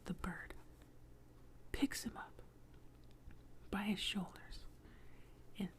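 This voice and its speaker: Slow whispering woman telling a bedtime story. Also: medium pitch, steady tone. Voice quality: whispery, breathy. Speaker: ASMR host and storyteller.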